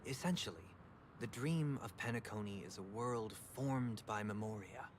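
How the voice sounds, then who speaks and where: A man playing a courteous, self-assured gentleman guide in a video game, formal and given to explaining. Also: mid-range voice, slight background noise, natural voice. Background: game music.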